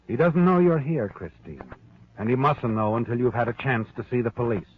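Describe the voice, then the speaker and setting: An actor playing a knowing, sardonic narrator, delivering dramatic second-person suspense narration in 1940s radio style. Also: male voice, mid-range voice, a little fast, husky, natural voice. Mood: hushed, suspenseful. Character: ominous omniscient narrator addressing the heroine, sardonic. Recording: dull vintage radio recording. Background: dramatic music.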